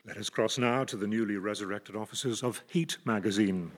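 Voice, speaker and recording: A man's voice: fairly low pitch, moderate pace. A man announcing in a grave, formal newsreader manner. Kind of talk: mock formal news announcing.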